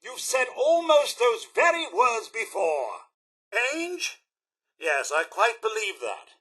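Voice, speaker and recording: Bright, fussy British robot butler. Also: male voice, extremely high pitch, sing-song, takes it slowly, heavily acted. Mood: wounded, pleading. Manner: formal, over-polite, crisply enunciated, dramatic. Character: prim, fussy robot butler with wounded loyalty. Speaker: robot butler companion in a video game. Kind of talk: theatrical video game voice acting.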